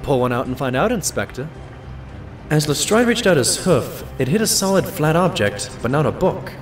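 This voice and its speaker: Man acting an earnest, animated doctor sidekick. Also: fairly high pitch, normal speed, faint music behind. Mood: thoughtful, deducing. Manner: earnest, expressive storytelling. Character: earnest, modest male pony doctor, loyal sidekick and narrator. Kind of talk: audio drama narration and dialogue.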